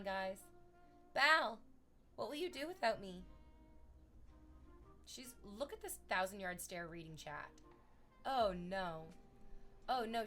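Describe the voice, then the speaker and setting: A woman's voice: a little high, pushed and bright. A woman chatting casually on a livestream, rambling to her viewers and cooing at her dog in baby talk.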